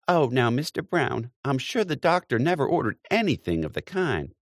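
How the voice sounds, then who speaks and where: A man's voice: mid-range voice, big pitch swings, normal speed, no echo, studio quality, voice pushed up, natural voice. Man doing a bold, teasing young lady.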